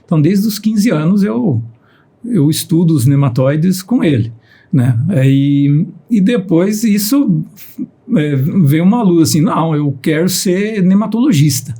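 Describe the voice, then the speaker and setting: A man's voice: fairly high pitch, lively intonation, a little slow, dark tone, husky. A man in his fifties with a Piracicaba countryside accent, a guest scientist on a podcast, speaking steadily and often repeating words as he starts a sentence. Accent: Piracicaba countryside Brazilian Portuguese. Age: 50s. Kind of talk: podcast conversation.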